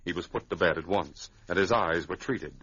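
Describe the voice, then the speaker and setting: A man narrating a radio adventure drama as a measured storyteller in a 1940s scripted style. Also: low voice, a bit bright, slightly rough. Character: narrator of a northern adventure tale. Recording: muffled vintage radio recording.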